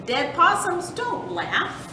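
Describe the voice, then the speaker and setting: Woman as a firm, slow-talking scolding mother possum. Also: wildly swooping pitch, heavily acted. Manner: emphatic scolding, drawn-out warnings. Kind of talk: children's storybook read aloud. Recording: roomy, distant mic with rumbling noise.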